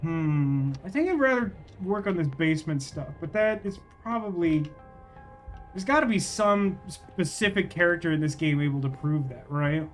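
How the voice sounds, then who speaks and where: A man's voice: fairly high pitch, animated, normal speed, soft background music. A man doing casual livestream game commentary, thinking aloud in a wry, rambling way as he chats with viewers.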